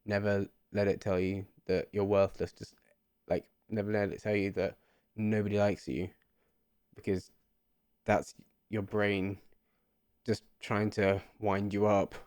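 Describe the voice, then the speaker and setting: Earnest young man, low voice. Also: level tone, a little slow, long pauses, darkish tone, smooth, no room sound, studio quality. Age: 20s. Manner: earnest, rambling, repeats himself. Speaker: vlogger. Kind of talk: casual reflective monologue to camera.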